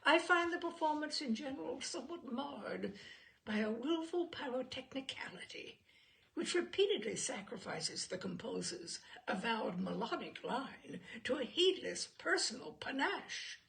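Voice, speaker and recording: A woman's voice: high-pitched, very animated, unhurried, vocal fry, a bit bright, voice pushed up, exaggerated voice. A woman voicing a pompous, self-satisfied man who lectures in ornate critical jargon in a humorous short story.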